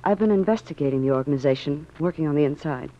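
A woman's voice: low voice, fast talker, warm tone, close to an everyday voice. An actress playing an earnest, secretive young woman informant in a 1940s radio drama. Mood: earnest, explaining. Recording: muffled old radio recording.

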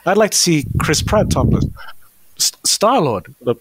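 A man's voice: a little high, big pitch swings, normal speed, noisy background. A man in casual podcast banter who jokes, swears freely and acts out quotes in silly voices.

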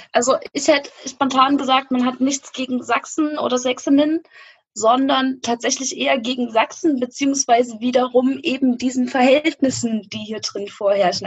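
A woman from Saxony with a high, husky voice makes her argument carefully on a podcast. The sound is dry and a little dull, with no room in it. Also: no room sound. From Saxony, Germany. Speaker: podcast co-host. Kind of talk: conversational podcast discussion.

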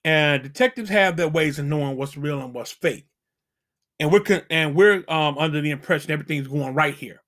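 High-voiced man giving preachy warnings. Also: a bit bright. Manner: preachy, emphatic warnings with slang. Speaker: channel host giving dating advice. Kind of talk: casual advice monologue.

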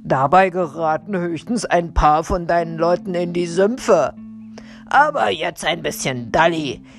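A woman voicing a gruff, brazen, unruffled forest robber for a children's audiobook, with a taunting, commanding, drawn-out delivery. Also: fairly low pitch, animated, faint music behind. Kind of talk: children's audiobook character reading.

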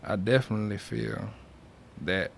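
A man's voice: fairly low pitch, steady tone, normal speed, gravelly, a little hiss or hum. A young man talking as a music artist being interviewed, in a loose, slangy, casual way. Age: young adult. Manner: slangy, loose, peppered with check-in phrases.

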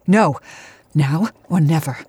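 Low female voice, imperious aging business queen, cool, clipped, commanding, campy audio drama. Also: slow pace, dark tone, husky, no echo, full character voice.

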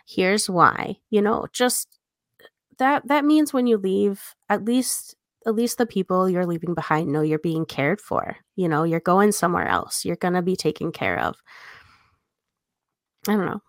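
A woman's voice: lowish voice, very animated, normal speed, no echo, clean studio sound. A woman co-hosting a podcast, talking in a casual, conversational style. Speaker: podcast co-host, editor. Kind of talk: casual chat.

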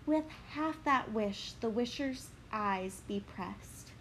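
A woman voicing a modest, gentle young woman, reading verse slowly. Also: a little high, expressive, slight background noise, low-quality recording. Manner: gentle, measured verse delivery.

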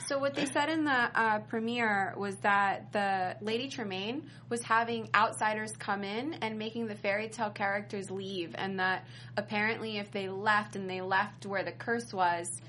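A woman's voice: mid-range voice, fairly even intonation, normal speed, sharp tone, slight background noise. A woman on an after-show panel, casually explaining and retelling scenes in a conversational way. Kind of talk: casual fan discussion.

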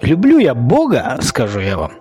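A male podcast host speaks off the cuff at a middling pitch, arguing his opinions through rhetorical questions. His phrasing is colloquial and halting, with repeated words, and music plays behind him. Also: wildly swooping pitch, darkish tone. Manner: argumentative, poses rhetorical questions. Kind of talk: informal opinionated solo monologue.

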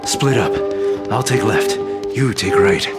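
An actor playing a grave, vengeful hunchback hero in a radio drama, speaking with intensity. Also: male voice, medium pitch, wildly swooping pitch, a little slow, creaky, over loud music, full character voice. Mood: urgent. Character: determined, vengeful hunchback hero hunting a phantom.

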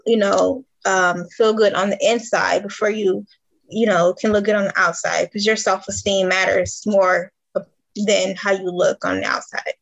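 A woman's voice: medium pitch, fairly even intonation, moderate pace, creaky, highs cut off. An American woman speaking casually as an interview guest, informal and prone to giggling. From Detroit, Michigan, US. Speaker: interview guest, fashion designer and author.

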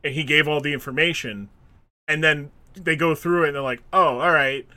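A man co-hosting a casual podcast chat, animated, acting out quotes and laughing often. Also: fairly high pitch, moderate pace, slight background noise. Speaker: podcast co-host.

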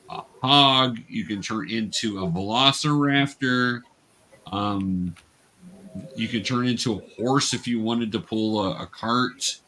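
A man's voice: medium pitch, sharp tone. Man game master over background noise. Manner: patient, explanatory. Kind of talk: casual tabletop game narration and teaching.

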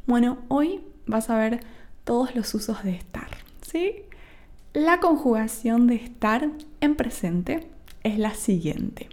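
A young woman with an Argentine accent teaches a Spanish lesson for a podcast. Her voice sits a little high but is soft and mellow in tone. She talks slowly and patiently, checking in after nearly every point. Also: expressive. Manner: explains slowly, with frequent checking "¿sí?" and "¿ok?".

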